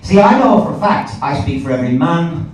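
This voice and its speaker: A man's voice: fairly high pitch, big pitch swings, brisk. An Irish man performing live stand-up comedy in a conversational, sweary storytelling manner. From Ireland. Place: indoor live comedy gig. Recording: echoey, noisy live club recording from a distance.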